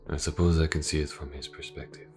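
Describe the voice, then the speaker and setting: A man voicing a calm, reserved police detective in an audio roleplay, speaking formally and with gentle reassurance to a wounded partner. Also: extremely low pitch, creaky, soft background music. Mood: reflective. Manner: measured, formal, gently reassuring, hesitant pauses. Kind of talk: audio roleplay, character acting.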